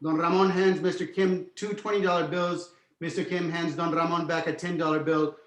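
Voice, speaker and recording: Male narrator, screenplay stage directions, fairly high pitch, roomy echo. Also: level tone, moderate pace. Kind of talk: scripted narration, screenplay table read. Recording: echoey room.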